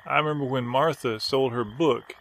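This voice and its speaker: An American man playing a mild, agreeable, laconic reminiscer with a gravelly voice and dry deadpan delivery in improvised folksy audio comedy. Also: mid-range voice, normal speed, slight background noise, close to an everyday voice, poor audio.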